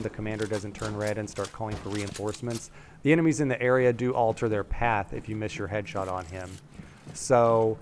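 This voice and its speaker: Male gaming commentator, slightly low pitch, plain explanatory delivery, noticeable game gunfire behind. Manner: matter-of-fact, explanatory. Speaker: gaming video maker. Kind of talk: playthrough strategy commentary. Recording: voice-over with game noise behind. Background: game sounds, gunfire.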